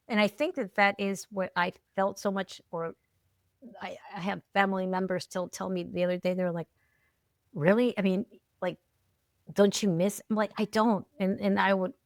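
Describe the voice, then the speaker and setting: A woman's voice: medium pitch, steady tone, dry recording, clean studio sound. A middle-aged woman chatting casually as a podcast guest, restarting phrases often and checking in with little tags as she reflects. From Peru, moved there at ten. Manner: halting, repeats words, often checks in with "right?" and "you know". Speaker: podcast guest, alcohol-free coach. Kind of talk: casual, reflective interview talk.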